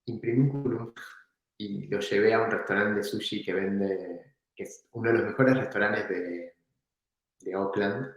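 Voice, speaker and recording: A man tells a story from his past in a relaxed, reflective way, laughing now and then. His voice sits slightly low. The room is very echoey and rings around him. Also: moderate pace. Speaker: entrepreneur guest. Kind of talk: casual storytelling in an interview.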